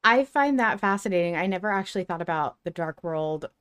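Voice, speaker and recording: Casual lower-pitched woman podcast host. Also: animated, a little fast, a bit bright, smooth.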